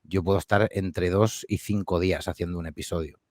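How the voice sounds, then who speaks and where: Deep-voiced guy talking fast, dry close sound, lots of ehs and restarts. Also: fairly even intonation, darkish tone, no room sound. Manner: hesitant, many fillers and restarts, friendly. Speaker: podcast creator and guest. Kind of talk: relaxed interview answers. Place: home studio.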